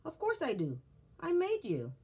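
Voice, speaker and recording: A woman voicing a big, kindly, fatherly male woodcarver in a children's storybook, reassuring and deliberate. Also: sits high, huge pitch swings, warm tone, pitched above the performer's own voice, heavily acted. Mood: welcoming.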